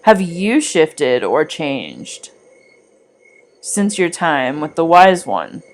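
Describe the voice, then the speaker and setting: Woman doing a guided meditation, really slow and soothing, lots of up and down in her pitch. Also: very animated. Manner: soothing, deliberate, gently instructive. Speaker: meditation guide, art teacher. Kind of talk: guided meditation narration, scripted.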